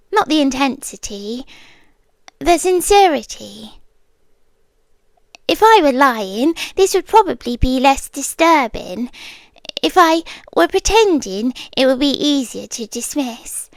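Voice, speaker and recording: A British woman voicing an obsessive romantic kidnapper for ASMR roleplay, speaking softly and often whispering into a close microphone. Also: sits high, animated, slow, pauses often, dry recording, full character voice. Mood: calm obsessive. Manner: soft-spoken, often slipping into whispers.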